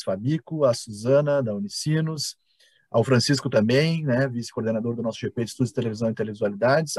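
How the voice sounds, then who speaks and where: A Brazilian man hosts an online academic discussion in an informal way. His mid-pitched voice has a husky edge, and the sound is dry, with little room echo. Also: a little mellow. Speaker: host and moderator of an online panel. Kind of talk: informal academic live-stream moderation.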